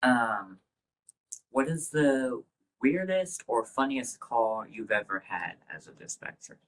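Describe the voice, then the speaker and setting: A man's voice: medium pitch, expressive, takes it slowly, fairly bright tone, rough recording. A man acting as interview host, putting prepared questions to a guest.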